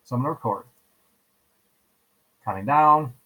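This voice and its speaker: A man's voice: mid-range voice, unhurried, narrow, tinny audio. A man teaching in an informal screencast style, explaining step by step, repeating himself and often checking in with "right?". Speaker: teacher giving a software tutorial. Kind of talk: screen-recording tutorial, casual step-by-step instruction.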